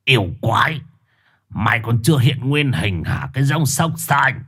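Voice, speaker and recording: A man doing a sly old sorcerer, low and gruff. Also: sing-song, unhurried, exaggerated voice. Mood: accusing. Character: scheming old male village sorcerer, sly and stern.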